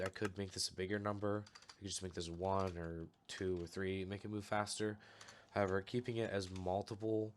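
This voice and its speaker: A young man recovering from a cold, calmly walking through a step-by-step game tutorial with a slightly off voice. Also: low voice, slight background noise. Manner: relaxed, explanatory, steady walkthrough with fillers. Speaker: channel host giving a game tutorial. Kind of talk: casual screen-recorded tutorial.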